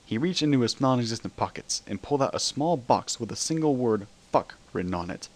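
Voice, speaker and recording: A man's voice: mid-range voice, lively intonation, fast talker, a little mellow. A man narrating a dramatic reading of comic fan fiction.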